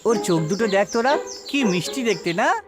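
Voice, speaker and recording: A friendly village child , voiced by a woman, speaks at a medium pitch with clear music underneath. The child sounds chatty, curious and earnest, as in a children's cartoon. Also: expressive, fairly quick, lightly acted. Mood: delighted.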